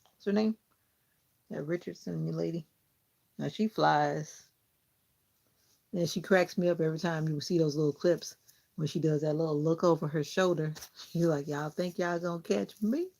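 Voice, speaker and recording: A woman's voice: low voice, steady tone, moderate pace, gravelly, long pauses, darkish tone. A woman with a Southern US accent, chatting casually with viewers and laughing often while she trims her hair. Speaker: vlogger. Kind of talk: casual chat with live viewers.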